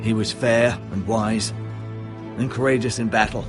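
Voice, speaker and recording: A man playing a grave older adviser to a young lord in a fantasy video game, formal and measured. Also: on the low side, fairly even intonation, music in the background, close to an everyday voice. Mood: solemn, instructive.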